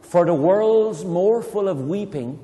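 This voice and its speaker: A man's voice: high voice, lively intonation, background noise. Irish man reciting a poem in a hall. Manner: playful, slow dramatic recitation with chatty asides. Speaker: poetry reader leading children. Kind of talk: reading a poem aloud and explaining it to children. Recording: long reverb in a hall.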